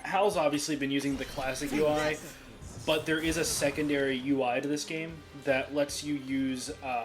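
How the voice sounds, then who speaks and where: A man casually commentating on a game, rambling with many fillers and restarts. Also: mid-range voice. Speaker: let's play commentator. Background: game music.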